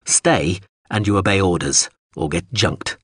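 A man's voice: low voice, measured pace, a bit bright, husky, no room sound, studio quality, heavily acted. A man voicing a hard-bitten mercenary commander who speaks bluntly and profanely in an audiobook. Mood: threatening. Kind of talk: audiobook character voice.

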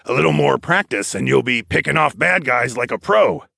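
A man's voice: mid-range voice, animated, moderate pace, gravelly, pushed and bright, slightly rough, dry recording, studio quality, voice pushed up, full character voice. A man voicing a confident, encouraging older ex-trooper in an audiobook. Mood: approving. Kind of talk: audiobook character dialogue.

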